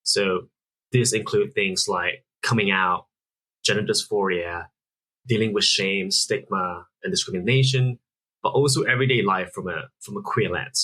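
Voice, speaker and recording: A man's voice: lowish voice, pushed and bright, breathy edge, low-quality recording. A man in his thirties from Singapore, a founder answering interview questions earnestly, explaining at length with frequent restarts. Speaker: app founder guest.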